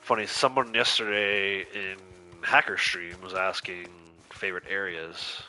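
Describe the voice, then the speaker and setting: A man with a lowish voice and a bright, forceful edge chats casually with viewers while playing a game. A cold leaves him congested and slightly nasal. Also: a little slow, poor audio. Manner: chatty, reads out and answers viewer chat, laughs easily. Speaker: game streamer.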